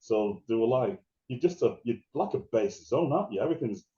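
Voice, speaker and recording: A middle-aged man with a deep voice, a guest DJ chatting casually in an interview and telling stories with many restarts. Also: expressive, brisk, warm tone, reverberant, lo-fi sound. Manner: rambling, restarts and laughs while telling stories.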